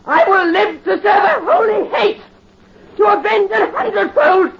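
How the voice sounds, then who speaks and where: Young nobleman, fervent, high, very animated voice. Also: measured pace, gravelly, a loud crowd, exaggerated voice. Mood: frenzied. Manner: fervent, swings from hushed vows to frenzied cries. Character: young French nobleman sworn to vengeance. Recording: dull, faintly hissy 1930s radio recording.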